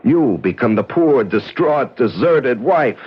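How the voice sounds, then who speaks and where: A husky, hard-bitten ex-crook who swings widely in pitch as he sneers and accuses. Heard through a dull, lo-fi vintage radio broadcast. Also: lively intonation, slow. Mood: accusing. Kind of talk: 1970s radio drama acting.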